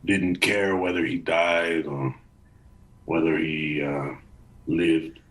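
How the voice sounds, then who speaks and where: A man who is a game voice actor, talking casually and haltingly. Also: a bass voice, flat delivery, a bit bright, rough recording.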